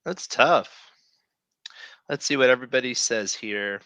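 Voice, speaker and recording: A man's voice: medium pitch, unhurried, sharp tone, no echo. A man co-hosting a live stream in casual, joking chat. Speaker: co-host.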